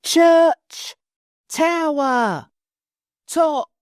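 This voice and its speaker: Man voicing Cyril, a yelling young boy. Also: very high-pitched, wildly swooping pitch, no room sound, voice pushed up, exaggerated voice. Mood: shouting. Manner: loud, slow shouting.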